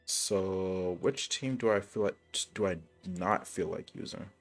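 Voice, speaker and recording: A man with a fairly low voice streams a game and chats casually to viewers. His delivery rambles, full of hesitation and slang. Music plays clearly enough to hear behind him. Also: a little slow. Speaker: live streamer. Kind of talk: casual gaming livestream chat.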